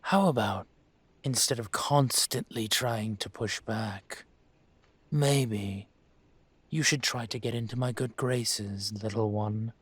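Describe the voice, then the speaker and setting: Give the part of a dragon mafia boss to a man acting it out in an intimate audio drama. His voice sits fairly low and he speaks very slowly, measured and suave. He is patient, condescending and quietly menacing, with a teasing edge, in a dry, echo-free recording. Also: breathy edge. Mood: stern, condescending. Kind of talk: scripted audio roleplay, intimate.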